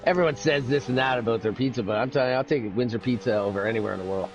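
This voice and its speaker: A man's voice: medium pitch, racing, dull audio, faint music behind. A man doing casual, chatty commentary on an online sim race. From Canada. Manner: chatty, laughs easily.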